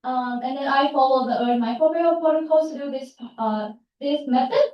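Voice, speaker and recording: A young woman presents her research in a large, very echoey hall, caught from a distance on a dull, muffled recording. Her voice sits a bit high, and she talks haltingly, often pausing to find the right words. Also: slight background noise. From Thailand. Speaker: student presenter. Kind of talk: short research talk and Q&A.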